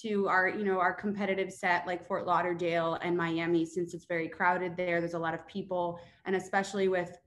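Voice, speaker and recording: Low-voiced woman, casual tourism rep. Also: fairly bright tone, slight background noise. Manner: casual, filler-heavy. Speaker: destination tourism representative. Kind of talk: online panel discussion. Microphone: video call.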